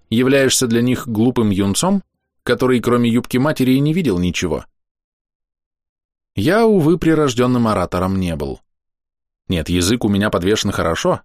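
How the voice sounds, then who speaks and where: Low-voiced male audiobook narrator. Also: dry recording, studio quality. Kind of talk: first-person novel narration.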